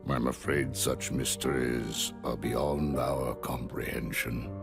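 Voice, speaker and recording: Wise old wizard for a game, super deep and slow, solemn, music behind. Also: male voice, steady tone, vocal fry, full character voice. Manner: solemn, deliberate, cryptic. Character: grave, wise old male sorcerer.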